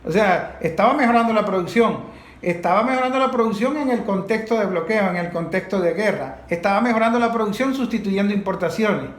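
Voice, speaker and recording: Venezuelan man, high voice, emphatic and repetitive in argument, recorded in an echoing room. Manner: emphatic, builds points through repetition. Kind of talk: unscripted panel discussion. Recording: echoey room.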